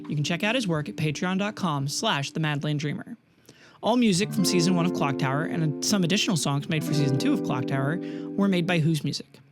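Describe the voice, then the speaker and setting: A game master telling a story casually and informally, with playful little touches. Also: mid-range voice. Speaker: game master and podcast host. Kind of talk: narrating a tabletop game, casual and improvised. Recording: prominent music behind the voice. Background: music.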